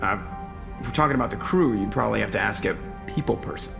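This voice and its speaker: A sarcastic, slightly gravelly starship pilot. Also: male voice, fairly low pitch, fast talker. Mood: sardonic. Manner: dry sarcastic quips. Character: wisecracking male starship pilot, sardonic. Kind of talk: video game voice acting. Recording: game audio with music behind.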